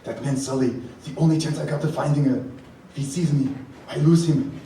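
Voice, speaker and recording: A blunt, intense action-hero dad, acted by a man in a live radio play. He sounds commanding and desperate, heard from off the mic in an echoey hall with clear background noise. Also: a little high, steady tone, fairly quick, warm tone, close to an everyday voice. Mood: grave, urgent. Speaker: amateur stage voice actor. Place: large indoor hall before an audience. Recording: echoey rehearsal hall, far mic, noisy.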